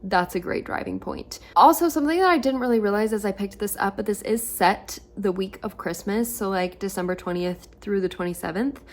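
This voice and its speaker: A woman in her twenties chatting casually at home about books, a little hoarse from losing her voice and filling her talk with "like". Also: medium pitch, brisk, hardly any pauses. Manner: chatty, lots of "like" fillers. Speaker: book vlogger. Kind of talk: casual reading vlog.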